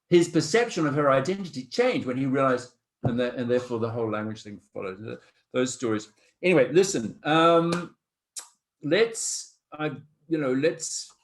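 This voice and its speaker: A man leading an online teacher-training seminar over a video call, talking in a reflective, anecdotal way. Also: on the high side. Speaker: course tutor.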